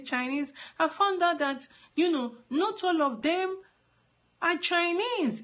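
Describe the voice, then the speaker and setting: A woman's voice: sits high, fairly bright tone. A Nigerian woman whose first language is Yoruba, giving an earnest live-streamed talk from her phone, explaining at length and repeating words for emphasis. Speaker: advocate for trafficking survivors.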